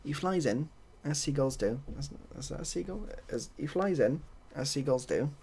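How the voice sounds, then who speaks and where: A softly spoken man improvising a story in a hesitant, rambling, deadpan way. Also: on the high side, normal speed. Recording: clearly audible background noise.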